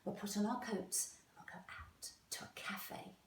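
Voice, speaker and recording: A woman's voice: mid-range voice, fairly even intonation, moderate pace, whispered, natural voice. A woman voicing a cheerful, resourceful father in a children's storybook. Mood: reassuring. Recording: roomy echo, distant microphone.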